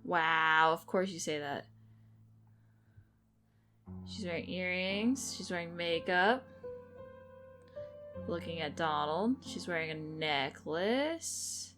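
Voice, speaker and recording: A woman's voice: lowish voice, slow pace, pauses often, a bit bright, faint music behind. A woman gaming and commentating casually, chatting and thinking aloud as she plays. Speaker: game streamer.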